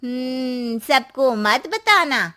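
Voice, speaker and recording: A woman's voice: high-pitched, animated, very slow, pushed and bright, pitched above the performer's own voice, heavily acted. A woman voicing a scheming middle-aged neighbor aunty in a cartoon story, with a coaxing, secretive way of talking. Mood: sly.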